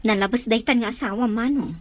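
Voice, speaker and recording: A woman's voice: a little high, fast, natural voice. A woman acting a concerned younger sister in a radio drama, earnest and urging.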